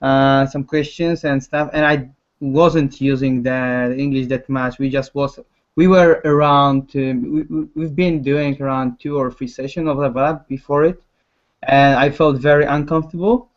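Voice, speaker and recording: A man with a Polish accent, a concept artist speaking English as a second language in a casual chat, halting and often restarting his words. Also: mid-range voice, steady tone, muffled recording.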